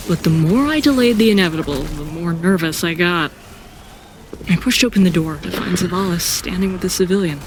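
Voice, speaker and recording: A woman playing an introspective seasoned warrior who narrates her own story in an audio drama, reflective and deliberate. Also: lowish voice, a little hiss or hum, natural voice. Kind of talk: first-person scripted audio drama narration.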